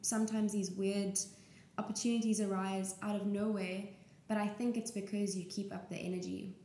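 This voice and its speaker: A woman's voice: medium pitch, little pitch movement, normal speed, mellow. A woman in her twenties talking candidly and casually as an interview guest. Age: 20s. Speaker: interview guest, small business owner. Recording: roomy echo with clear background noise.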